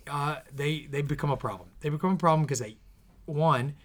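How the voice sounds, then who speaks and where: A man hosting his podcast in casual conversation, his voice a little scratchy, talking in an earnest, reflective way that often trails off softly. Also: fairly high pitch, brisk. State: slightly scratchy voice. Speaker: podcast host and author. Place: in the same room as co-host.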